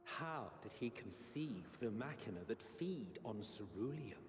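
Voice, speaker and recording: An actor playing a solemn chronicler, narrating a bleak history in a measured, literary, dramatic manner. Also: male voice, mid-range voice, animated, lightly acted. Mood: reflective, bitter. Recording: echoey hall reverb, music behind.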